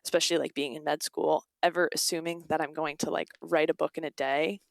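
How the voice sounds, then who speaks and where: A woman's voice: sits low, little pitch movement, fairly quick, creaky, dry recording. A young woman speaking casually as an interview guest, informal and peppered with "like" and quoted asides. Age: young adult. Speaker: guest, author.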